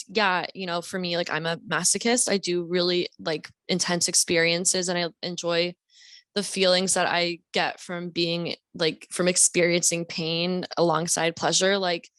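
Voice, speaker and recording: A woman's voice: lowish voice, little pitch movement, unhurried, fairly bright tone, clear, resonant tone, no echo. A young woman as a podcast guest, talking in a rambling, reflective way with many fillers. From Deep South, US. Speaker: guest author. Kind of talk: casual podcast conversation.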